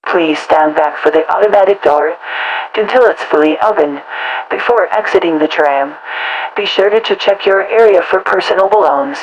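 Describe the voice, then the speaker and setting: Lower-pitched woman, automated transit announcer. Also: normal speed, dull audio. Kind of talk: scripted automated public-address announcement.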